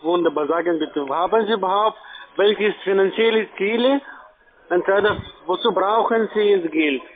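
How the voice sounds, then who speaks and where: Male call-center seller, foreign accent, high pitched, narrow phone-quality sound. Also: moderate pace, a little hiss or hum. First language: not German. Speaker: telephone sales agent. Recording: tinny phone line.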